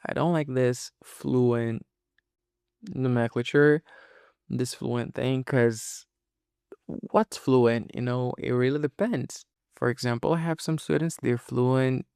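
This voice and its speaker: Casual male podcast host with creaky, clear voice. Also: mid-range voice, darkish tone, no room sound, studio quality. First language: Portuguese. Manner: informal, explanatory, often checking in with "you know" and "okay". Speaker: podcast host and English teacher. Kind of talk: casual podcast monologue to listeners.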